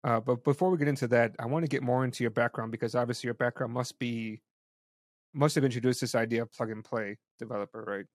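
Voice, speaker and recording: A man's voice: mid-range voice, fairly even intonation, fast talker, no echo, clean studio sound. A man hosting an interview, casual and curious, often restarting his sentences. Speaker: interview host. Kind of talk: interview conversation.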